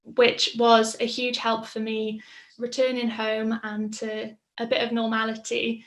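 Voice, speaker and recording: A woman's voice: a little high, monotone, a little slow. A woman, telling her personal story in a casual, reflective way, with frequent ums. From Mid Wales. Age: 20s. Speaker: patient sharing her story. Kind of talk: personal testimonial.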